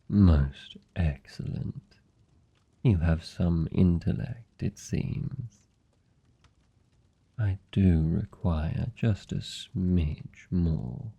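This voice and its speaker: A man playing a haughty, seductive vampire lord in a fantasy ASMR roleplay, with a British accent, a low gravelly husky voice and a deliberate, teasing, condescending delivery. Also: dark tone, exaggerated voice. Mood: amused condescension. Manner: slow, deliberate, aristocratic, teasing.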